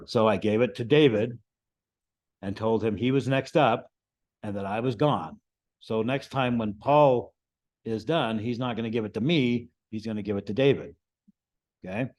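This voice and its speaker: A man giving an informal, explanatory lesson to a group as the instructor, often checking in with a quick "right?". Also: lowish voice, darkish tone. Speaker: amateur radio class instructor.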